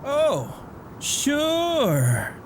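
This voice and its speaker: A man's voice: very high voice, huge pitch swings, drawn-out words, noisy background, exaggerated voice. A man playing a blunt, nosy but caring father in a comic dub, probing and scolding. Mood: teasing. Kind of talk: amateur comic dub voice acting.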